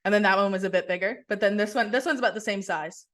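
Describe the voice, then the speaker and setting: Relaxed young woman rambling on a call. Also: mid-range voice, quick pace. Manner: relaxed, rambling, many "like"s and restarts. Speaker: podcast co-host. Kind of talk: casual chat over video call. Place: kitchen at home. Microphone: video call.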